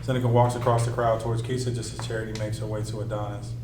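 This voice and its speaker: Male script narrator, flat delivery on the low side, recorded roomy with music underneath. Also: fast talker, noisy background. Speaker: narrator reading stage directions. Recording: echoey room, distant mic, music behind.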